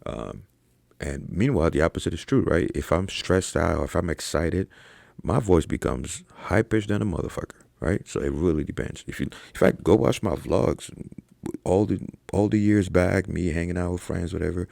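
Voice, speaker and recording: A man's voice: sits low, normal speed, gravelly, darkish tone, dry recording, clean studio sound. A young man talking casually to camera as a fitness coach, profane and slangy, with lots of fillers and "right?" tags. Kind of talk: casual ranking commentary to camera.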